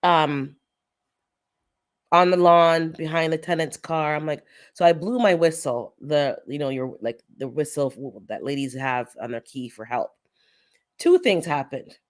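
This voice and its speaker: A woman's voice: sits low, unhurried. A woman hosting a casual gardening livestream, a bit stuffy and congested, chatting and laughing as she tells stories and greets viewers. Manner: chatty storyteller, laughs often, greets chat by name. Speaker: gardening channel host.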